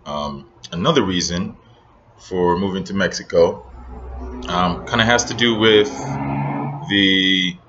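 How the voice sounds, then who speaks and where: A low-pitched young man from Nigeria speaks with an American accent, sharing thoughts in a casual vlog. He pauses often and fills gaps with ums as he reflects. The recording sounds a little dull, with a faint hiss of ambient noise behind him. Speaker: vlogger.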